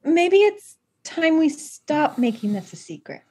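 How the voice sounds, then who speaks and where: An actress playing a seductive, insistent mistress in a radio play. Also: female voice, high voice, very animated, measured pace, a little mellow. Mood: pleading, insistent.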